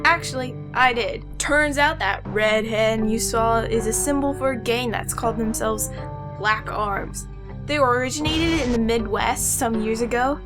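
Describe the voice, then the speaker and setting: A woman's voice: on the high side, a little slow, music in the background, lightly acted. A woman playing a brainy, exasperated young boy inventor in a fan audio drama, explaining things in a precise, know-it-all way. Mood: earnest explaining.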